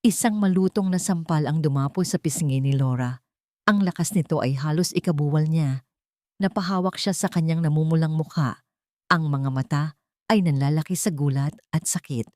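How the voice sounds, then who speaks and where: A woman's voice: sits low, moderate pace, darkish tone, dry recording, studio quality. A woman with a Filipino accent narrating a dramatic audio story, voicing the characters' lines herself.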